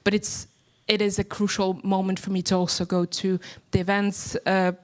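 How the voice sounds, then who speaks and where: A woman's voice: mid-range voice, flat delivery, moderate pace. Woman, from Russia, audience member, hesitant, reflective, fillers. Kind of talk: sharing a personal story at a panel event.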